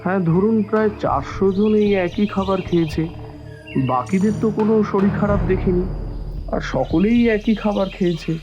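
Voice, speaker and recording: A man's voice: high-pitched, moderate pace, music in the background, lightly acted. A man playing a wary, proud householder in an audio drama, hesitant and flustered, easily put on the defensive. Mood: hesitant, uneasy. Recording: dull lo-fi sound, faint noise.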